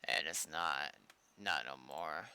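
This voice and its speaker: A man's voice: a bass voice, takes it slowly, pushed and bright, clean tone. A tired man talking casually to himself and swearing as he works on a live stream. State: tired, sighing. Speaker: streamer developing a game roleplay server. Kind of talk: casual unscripted stream talk while working.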